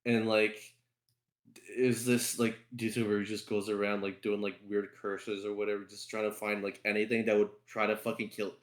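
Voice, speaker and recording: A man's voice: lowish voice, level tone, moderate pace. Roomy-sounding man rambling and swearing. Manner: heavy casual swearing, rambling. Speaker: podcast co-host. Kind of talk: casual chat.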